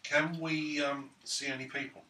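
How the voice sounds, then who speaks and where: A man chats casually as a player at a gaming table. His mid-pitched voice is distant and echoey, with clear noise on the recording. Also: level tone, moderate pace, bright, forceful tone. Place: indoors around a gaming table. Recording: roomy, distant mic, clearly noisy.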